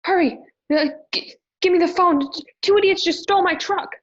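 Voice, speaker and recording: A woman's voice: very high voice, brisk. A woman playing a frantic truck driver in an amateur radio play. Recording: dull lo-fi home recording.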